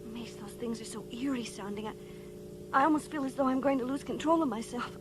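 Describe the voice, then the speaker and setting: Woman acting high-pitched, anxious heroine on the run. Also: fairly quick, music in the background, close to an everyday voice. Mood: frightened. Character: anxious, questioning woman fleeing eerie invaders. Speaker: actress in an audio drama. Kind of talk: science fiction radio drama acting.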